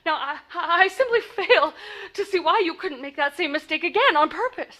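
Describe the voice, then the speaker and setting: A woman's voice: very high voice, animated, moderate pace, sharp tone, heavily acted. An actress playing a frantic, imperious married woman in a 1940s style radio play, stammering and indignant. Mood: indignant, insistent. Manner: stammering, breathless, insistent, indignant.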